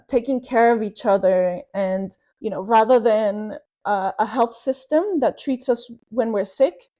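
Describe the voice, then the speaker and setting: A woman's voice: medium pitch, a little mellow. A woman from Venezuela, a researcher guest on a podcast, giving a long reflective answer with many fillers and restarts.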